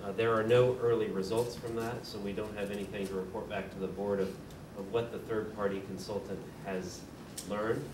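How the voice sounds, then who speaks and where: A man chairing a school board meeting, explaining procedure in a measured way with frequent fillers. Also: lowish voice, steady tone. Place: meeting room. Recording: distant mic, echoey room, noisy.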